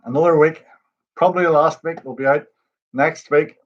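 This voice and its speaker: A man's voice: a little high, fairly even intonation, normal speed. A man hosting a pub quiz livestream, chatting and greeting viewers by name. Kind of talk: casual livestream chat. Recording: dull lo-fi stream audio.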